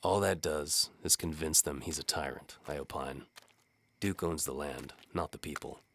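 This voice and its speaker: A man playing a sardonic, world-weary medieval bow for hire, narrating in a wry deadpan as scripted audio drama. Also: a bass voice, normal speed, gravelly, exaggerated voice. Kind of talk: first-person scripted narration, hard-boiled audio drama.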